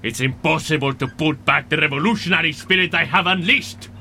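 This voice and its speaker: A man playing a zealous, smooth-talking rebel leader with a grandiose, insistent delivery in an audio drama. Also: on the high side, fairly quick, bright, forceful tone, a little hiss or hum. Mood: knowing, insistent.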